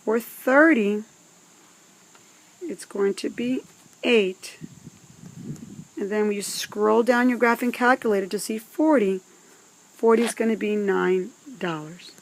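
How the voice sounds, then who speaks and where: A woman teaching math step by step, speaking in a deliberate, instructive way with pauses between phrases. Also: mid-range voice, poor audio. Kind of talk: scripted step-by-step instructional narration.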